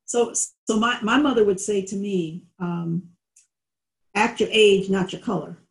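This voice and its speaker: A woman's voice: medium pitch, measured pace. A woman hosting an interview, supportive, asking questions and offering short affirmations. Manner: supportive, short backchannel responses. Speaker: podcast host. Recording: echoey room.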